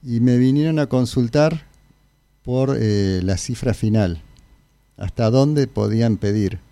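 A middle-aged man with an Argentine Spanish accent, an interview guest telling anecdotes casually in a halting, informal way. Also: medium pitch, warm tone, no echo. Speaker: interview guest, I Ching consultant.

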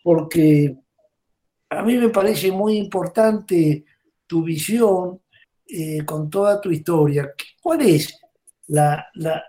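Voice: mid-range voice, slow, dark tone. An interviewer poses a long, rephrased question about regional relations.

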